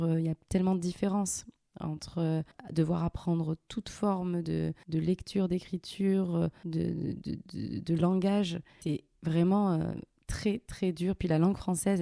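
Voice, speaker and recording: A woman in her thirties hosting a casual interview, asking questions in a gentle, measured and thoughtful way. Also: fairly low pitch, vocal fry, no echo, studio quality. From Algeria, raised in France. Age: 30s. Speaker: host interviewing her mother.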